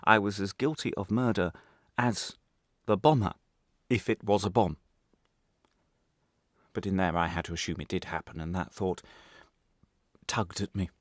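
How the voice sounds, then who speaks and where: A man's voice: low voice, no echo, studio quality. A man playing a guilt-ridden former prisoner telling his story in a radio drama, intense and brooding, in broken, repetitive phrases.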